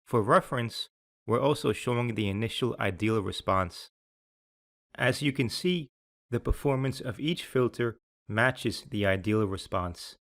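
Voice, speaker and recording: A man reading a scripted software tutorial in a measured, explanatory way. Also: mid-range voice. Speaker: software demo narrator.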